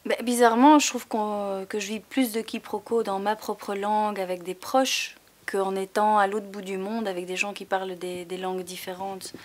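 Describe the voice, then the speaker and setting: A woman's voice: medium pitch, little pitch movement, moderate pace, few pauses, slight background noise. A woman in an interview, speaking thoughtfully and hesitantly while searching for words. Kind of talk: reflective interview.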